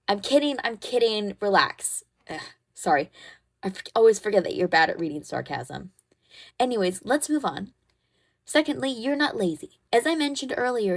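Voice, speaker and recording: A woman playing a caring, protective teenage girl who comforts her best friend in an ASMR roleplay, soothing and gently bossy as she talks. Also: medium pitch. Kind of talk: ASMR comfort roleplay, one-sided conversation.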